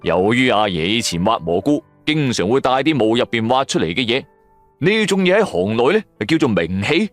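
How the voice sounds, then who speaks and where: A man's voice: medium pitch, big pitch swings, fairly quick. A man with a Cantonese accent narrating a supernatural serial in the first person, in a dramatic, colloquial storytelling manner. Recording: music bed under the voice. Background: background music.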